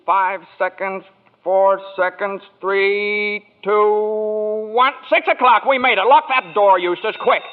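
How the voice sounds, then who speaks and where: A man's voice: high voice, steady tone, slow pace, phone-quality sound, soft background music. An actor playing an earnest, decent young savings-and-loan man, stammering and pleading in old-time radio drama style. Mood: eager, relieved. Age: young adult. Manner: stammering, pleading, repeats himself. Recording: band-limited old radio broadcast recording.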